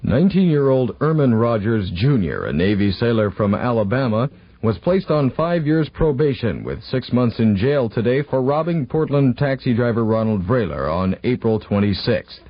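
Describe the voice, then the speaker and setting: A man's voice: medium pitch, very animated, normal speed, no room sound. A man reading scripted local news on a dull, dry vintage radio broadcast. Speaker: radio newsreader.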